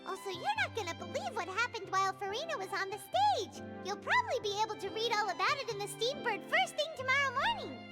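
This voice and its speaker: A woman's voice: very high-pitched, very animated, fast talker, few pauses, over music. A woman voicing a small, childlike, chatty floating fairy companion in a video game, exclaiming cheerfully. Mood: delighted. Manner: exclaiming, talks of self in third person.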